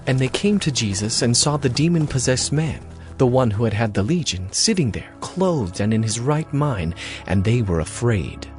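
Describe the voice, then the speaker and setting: A man's voice: medium pitch, sing-song, normal speed, few pauses, a little mellow, faint music behind. A man reading scripted narration for a dramatized audio Bible.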